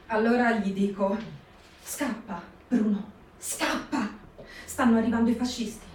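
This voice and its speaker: A woman's voice: on the high side, steady tone, darkish tone, slight background noise, close to an everyday voice. A woman voicing a townswoman telling of urgently warning a fugitive to flee. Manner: urgent, hurried storytelling. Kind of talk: stage reading for radio. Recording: echoey room, distant mic.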